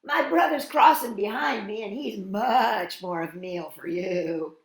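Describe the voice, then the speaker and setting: A woman's voice: medium pitch, a little slow, natural voice. A woman voicing a polite, anxious middle-sized male billy goat for a children's storybook. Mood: pleading. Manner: medium-sized voice, pleading. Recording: roomy, echoing sound.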